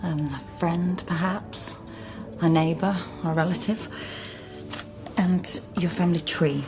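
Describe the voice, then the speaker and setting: A British genealogist in a radio mystery drama. Her voice sits fairly low, and she talks in a dry, self-mocking, matter-of-fact way. Music plays clearly behind her. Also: steady tone, takes it slowly. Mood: thoughtful. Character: wry, solitary female genealogist, brisk and self-mocking. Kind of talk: naturalistic radio drama acting.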